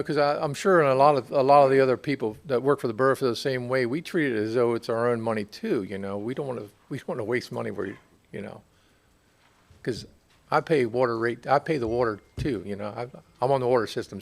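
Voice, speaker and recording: Gravelly American man answering at a meeting. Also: medium pitch. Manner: conversational, halting, repeats himself. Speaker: borough water utility official. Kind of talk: answering questions at a public meeting. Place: public council meeting room.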